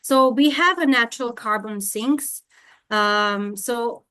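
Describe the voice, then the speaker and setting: A woman's voice: fairly high pitch, slow, pushed and bright, smooth, dry recording. A woman from Serbia giving an online scientific lecture as a university lecturer, speaking with many fillers and restarts. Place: home in Thailand during monsoon rain. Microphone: video call.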